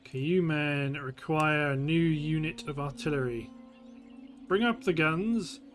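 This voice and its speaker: A man's voice: fairly high pitch, unhurried, soft background music. A British man giving casual let's play commentary on a strategy game, thinking aloud in short remarks. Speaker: gameplay host.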